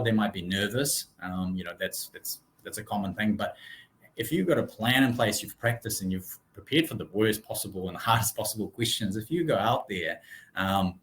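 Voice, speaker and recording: Deep-voiced Russian-born man on a call. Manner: relaxed, friendly, many ums, laughs easily. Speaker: real estate branch manager, guest. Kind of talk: casual video call interview.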